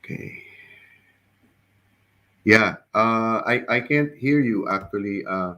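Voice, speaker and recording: A man with a very deep, slightly raspy voice, recovering from the flu, hosts a podcast over a video call. He speaks in a casual, hesitant way, often stopping and repeating his words. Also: slow, bright, forceful tone, muffled recording. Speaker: podcast host. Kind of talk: casual online interview.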